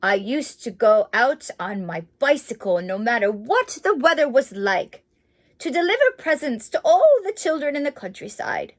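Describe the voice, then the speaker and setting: A woman voicing a kindly old male mouse, a retired postman who reminisces in a gentle, measured storybook manner. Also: on the high side, very animated, pitched below the performer's own voice. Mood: fond reminiscing.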